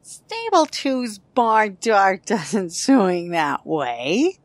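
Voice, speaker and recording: A woman's voice: mid-range voice, big pitch swings, drawn-out words, heavily acted. A woman voicing a pony mother in an audio drama. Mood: scolding. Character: pony mother, remembered in her daughter's mind.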